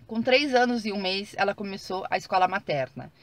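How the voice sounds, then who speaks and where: A woman's voice: medium pitch, animated, a little fast. A woman in her thirties from Brazil, with a Brazilian Portuguese accent, talking casually to her viewers in a chatty way with many fillers and restarts, recorded in her car. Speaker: vlogger. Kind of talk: casual vlog talk to viewers. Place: car while driving.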